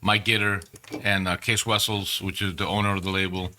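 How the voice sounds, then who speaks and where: Bright-voiced Peru-born musician telling stories. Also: male voice, sits low, fairly quick, a little hiss or hum. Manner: animated, profane, rambling storyteller. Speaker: band member. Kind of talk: casual interview storytelling.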